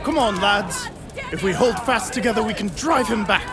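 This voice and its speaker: Man playing a high-pitched, defiant townsperson. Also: normal speed, over a noisy crowd, heavy background noise, natural voice. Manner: urgent, superstitious, defiant. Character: frightened, defiant townsperson leading a mob. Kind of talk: audio drama acting.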